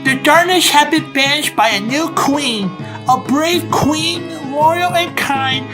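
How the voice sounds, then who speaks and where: A woman's voice: sits high, takes it slowly. A woman playing a solemn, hopeful storyteller narrating a fairy tale in a cartoon comic dub, over music. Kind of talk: scripted dramatic narration. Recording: music behind the voice.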